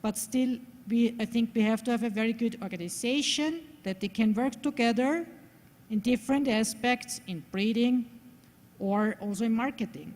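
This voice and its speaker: A woman's voice: fairly high pitch, darkish tone. A woman from Austria, speaking English as an on a forum panel, searching for her words. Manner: thoughtful, searching for words, speaking a second language. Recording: long hall reverb.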